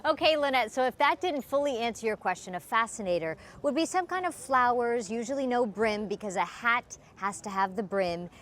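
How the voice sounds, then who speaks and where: A woman TV reporter presenting an upbeat live feature, chatty and quick to laugh. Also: on the high side, lively intonation, fairly bright tone, a little hiss or hum.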